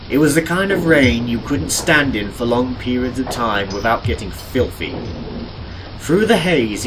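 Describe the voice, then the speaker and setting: A man reading scripted audiobook narration of an adventure story in a deliberate, dramatic, descriptive way. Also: medium pitch, low-quality recording. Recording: music behind the narration. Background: game and cartoon soundtrack music.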